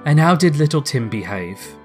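A man's voice: a little high, big pitch swings, normal speed, faint music behind. Man as bustling, fussing working-class mother. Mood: teasing, asking. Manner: fussing, homely.

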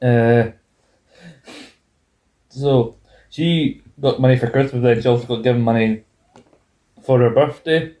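A man's voice: mid-range voice, measured pace, pauses often, dark tone, reverberant. A man in his twenties chatting casually as a podcast co-host, rambling with restarts and self-corrections. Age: 20s. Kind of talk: casual chat.

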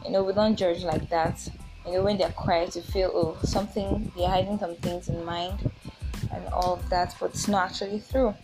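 A woman in her twenties with a Nigerian accent, talking informally as a solo podcast host in a chatty, halting, repetitive way. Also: lowish voice, fairly even intonation, music in the background, low-quality recording. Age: 20s.

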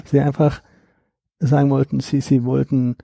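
A man being interviewed about his book, talking in a relaxed, hesitant way with repeated words and fillers. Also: medium pitch, moderate pace, gravelly, no echo. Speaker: guest, author of a book on stuttering. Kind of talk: conversational interview.

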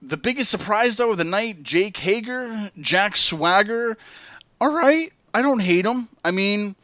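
A man's voice: high-pitched, expressive, measured pace, bright, forceful tone, dry recording. A man hosting a podcast, rambling and hedging with lots of fillers as he leads the chat. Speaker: podcast host. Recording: dull lo-fi podcast audio.

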